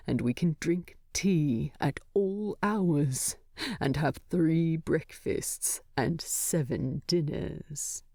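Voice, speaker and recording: Woman voicing a slow, low, tender dwarf king. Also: dark tone, no room sound, studio quality, heavily acted. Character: gruff, tender, lovestruck male dwarf king.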